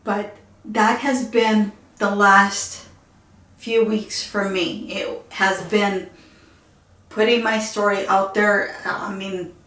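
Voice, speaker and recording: A woman with a Ukrainian accent, talking casually and softly in a personal vlog, pausing often with fillers. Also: mid-range voice, level tone, echoey room, slight background noise. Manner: soft-spoken, halting with fillers. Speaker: vlogger.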